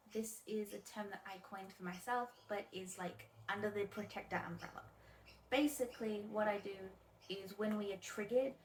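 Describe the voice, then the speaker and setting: Soft-spoken woman in her twenties. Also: mid-range voice, slight background noise, poor audio. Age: 20s. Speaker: video creator. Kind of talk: interview-style self-introductions.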